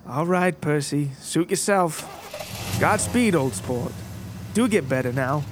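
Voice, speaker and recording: A man's voice: a little high, animated, takes it slowly, background noise. A man voicing a genteel, chummy friend with a coaxing manner. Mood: genial.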